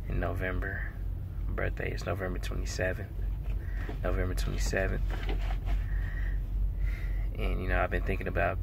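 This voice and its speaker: A young man in his late twenties with a deep, gravelly voice that stays fairly flat in pitch. He talks earnestly and hesitantly, pausing often between thoughts. Steady background noise with passing traffic sits under the voice. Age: 20s. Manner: hesitant, earnest, many pauses and fillers. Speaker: vlogger. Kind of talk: casual spoken reflection on faith.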